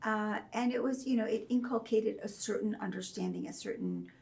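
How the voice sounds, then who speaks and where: Creaky-voiced thoughtful woman guest. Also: mid-range voice, huge pitch swings. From Saudi Arabia. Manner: measured and thoughtful, with frequent soft fillers. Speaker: guest, professor of religion. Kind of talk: reflective interview storytelling.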